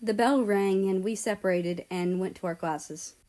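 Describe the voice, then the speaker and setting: A woman narrating a scripted first-person story in a measured, deliberate way. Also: mid-range voice, darkish tone. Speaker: audiobook-style reader of fan fiction.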